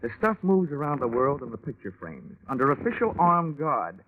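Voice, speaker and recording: An actor playing a tough, wisecracking American insurance investigator with a wry, deadpan, hard-boiled delivery in 1940s radio style. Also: male voice, mid-range voice, normal speed. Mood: hard-boiled, confident. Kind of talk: 1940s radio detective acting and narration. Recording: tinny band-limited 1940s radio recording.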